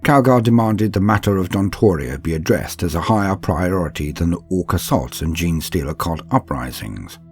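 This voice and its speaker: A man reading scripted narration like an audiobook, in a calm, steady, measured manner. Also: deep voice. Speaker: narrator reading a campaign book. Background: faint background music.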